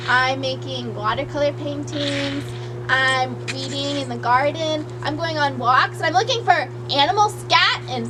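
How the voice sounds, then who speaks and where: Woman as a gentle high-voiced puppet duck. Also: unhurried. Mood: reassuring. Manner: simple, patient explaining to a child. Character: gentle, reassuring female puppet friend Dottie, a duck. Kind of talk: children's puppet show. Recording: noisy amateur puppet show recording.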